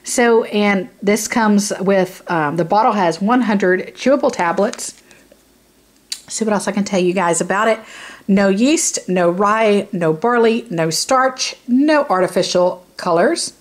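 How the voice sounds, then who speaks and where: Hoarse, chatty woman vlogger. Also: mid-range voice, a little slow. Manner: rambling, chatty, many fillers and "you know what I mean". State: hoarse and raspy from sinus trouble. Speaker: YouTube beauty vlogger. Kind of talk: casual product review to camera. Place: indoors, filming a product close up.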